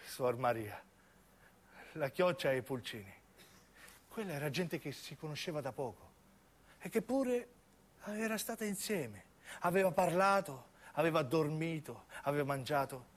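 A man's voice: a little high, animated, unhurried, pauses often. A man with a southern Italian accent narrating a first-person story as a stage actor, in lyrical, repeating phrases.

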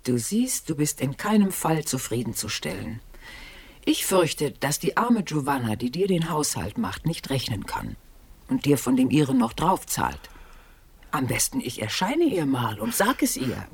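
Wry, husky ghost wife. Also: female voice, low voice, very animated, a little slow, exaggerated voice. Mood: reproachful. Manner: dry, sardonic, wounded. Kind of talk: radio play dialogue.

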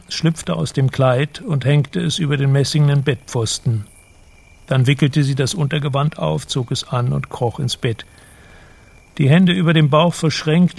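A man narrating a literary radio drama in a measured, descriptive manner. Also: on the high side, vocal fry, darkish tone, dry recording.

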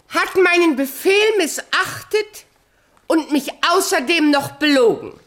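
A woman's voice: high voice, fairly bright tone, exaggerated voice. A woman playing a vain, imperious and scheming fairy-tale queen in a children's radio play, theatrical and commanding.

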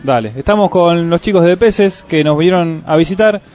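A fairly high-voiced Argentine man interviewing guests on local radio. He jokes and moves along easily. Faint music plays under him. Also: normal speed, darkish tone. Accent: Argentine Spanish. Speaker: radio host.

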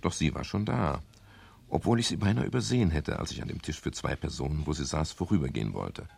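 An actor playing a middle-aged private detective in a radio crime drama, wry, dry and expressive in dialogue and narration. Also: male voice, a bass voice, quick pace, creaky, a little hiss or hum, full character voice. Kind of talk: 1970s German radio crime drama acting and first-person narration.

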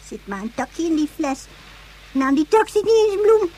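Bratty little girl, extremely high voice, demanding, radio drama with faint noise. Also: very animated, moderate pace, dark tone. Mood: scornful, bossy. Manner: scornful, demanding, blunt. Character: bratty, bossy little girl who whines to get her way. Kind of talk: Dutch science-fiction radio play acting.

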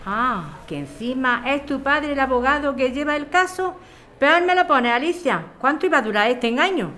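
A woman's voice: high-pitched, sing-song, moderate pace, sharp tone, a little hiss or hum, full character voice. A woman acting a firm, resolute neighbour facing eviction in an audio drama. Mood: reproachful. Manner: reproachful, firm. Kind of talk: audio fiction podcast acting.

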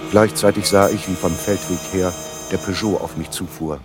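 An actor playing the armed first-person narrator of a radio thriller, recounting the action over music. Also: male voice, low-pitched, fairly even intonation, moderate pace, natural voice. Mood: urgent.

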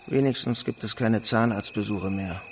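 A male actor as a grave man in his thirties with a gravelly, creaky voice, speaking tersely and bitterly matter-of-fact. It is a radio drama scene with insects and night noise around him. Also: fairly low pitch, normal speed, close to an everyday voice.

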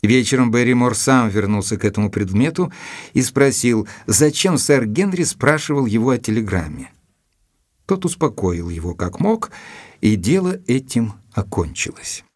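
An actor playing an observant, loyal gentleman who reports a mystery in a measured, formal, deliberate way, as in an audio drama. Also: medium pitch, expressive, dry recording, natural voice. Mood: measured, reflective. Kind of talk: scripted audio drama narration, reading out a letter. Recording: studio recording with music underneath.

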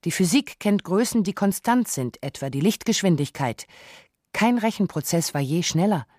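A woman reading a scripted radio documentary narration in a measured, reflective way. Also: fairly low pitch, expressive, a little mellow, no echo, clean studio sound.

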